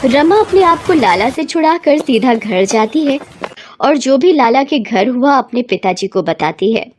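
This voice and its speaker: A woman's voice: sits high, lively intonation, unhurried, a little mellow, slight background noise. A woman narrator reading a scripted moral bedtime story.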